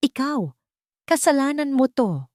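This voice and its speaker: Cornered, scheming man, story villain played by a woman; Filipino accent, accusing, volatile, dark-toned and dry. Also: high voice, big pitch swings, measured pace, no echo, pitched above the performer's own voice. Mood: desperate rage. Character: scheming, desperate male villain, cornered and bitter.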